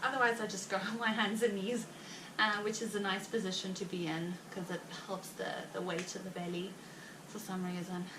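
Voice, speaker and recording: A chatty woman with a South African Afrikaans accent talks loosely to her viewers, heavily pregnant and full of fillers and little laughs. She sits well back from the mic in a roomy space, and a steady noise runs beneath her voice. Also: mid-range voice, far from the mic. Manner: chatty and rambling, with frequent fillers and quick laughs. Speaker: vlogger. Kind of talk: casual chat to viewers.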